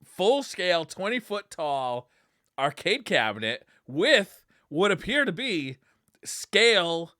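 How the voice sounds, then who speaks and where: A man's voice: high-pitched, sing-song, slow, bright, forceful tone, no room sound, studio quality. An American man in his thirties, joking and slangy as he chats casually as a podcast host. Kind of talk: casual chat about retro gaming.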